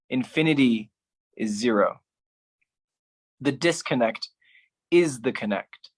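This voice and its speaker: A man performing his own writing as a spoken-word solo artist, speaking deliberately with pauses. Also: mid-range voice, fairly bright tone. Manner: slow, deliberate delivery with pauses.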